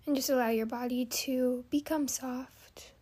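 Lady leading a guided meditation, really slow n mellow, gentle, leaves long pauses. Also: high-pitched, steady tone, breathy edge.

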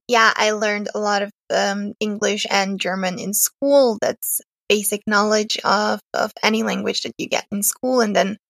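A woman's voice: mid-range voice, a bit bright, no room sound. A young woman from Serbia, a native Serbian speaker, chatting as a podcast guest, hesitant and often laughing. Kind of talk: casual interview chat.

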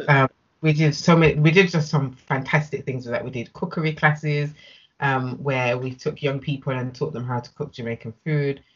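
A woman's voice: very deep voice, husky, highs cut off. A British woman speaking as an interview guest in a casual, candid and emphatic conversational way. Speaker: interview guest, business owner.

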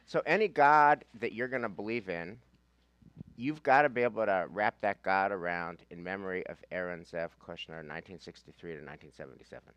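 An American man with a fairly low voice leads a class as a rabbi. He speaks informally and emphatically, leaning on repetition and rhetorical questions. The recording is dry, with hardly any room sound. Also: a little fast, a bit bright, smooth, dry recording. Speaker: rabbi leading a Talmud class. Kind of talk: informal teaching talk with reading from a handout.

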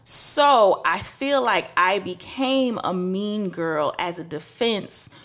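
A young woman from Trinidad telling a personal story candidly on a podcast, getting animated when she quotes people. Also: medium pitch, slow pace. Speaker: podcast co-host. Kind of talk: casual podcast chat.

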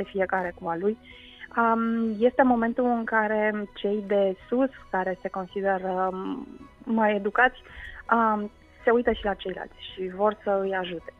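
A woman explains as a guest in a radio interview, often pausing mid-phrase as she searches for words. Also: medium pitch, gravelly, muffled recording. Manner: hesitant, with frequent pauses mid-phrase. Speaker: guest food culture researcher.

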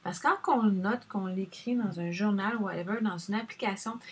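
A woman with a Québécois accent talks straight to the viewer in a loose, rambling chat. Her voice is a little husky and sits at a middle pitch. She wanders from point to point as she shares her advice. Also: moderate pace. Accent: Québécois French. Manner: chatty, rambling, addresses viewer directly.

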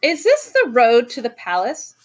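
A woman reads as a courteous male professor in a children's storybook. He speaks brightly and decisively, with patient good manners and a fairly high pitch. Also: wildly swooping pitch, moderate pace.

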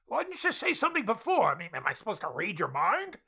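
Husky, wildly swooping old reformed miser. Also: male voice, sits high, quick pace, full character voice. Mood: warm, flustered. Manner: excitable, repeats words emphatically. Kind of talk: theatrical comic radio drama acting.

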